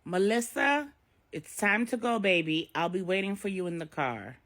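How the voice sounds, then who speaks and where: A woman's voice: mid-range voice, expressive, normal speed, fairly bright tone, pitched above the performer's own voice. A woman voicing a defiant, scheming young mistress and mother in a story, stubborn and insistent.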